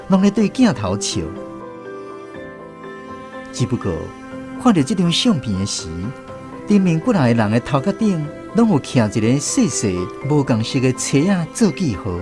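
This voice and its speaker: A man narrating a radio drama in the first person, reminiscing like a storyteller with a Taiwanese accent. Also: mid-range voice.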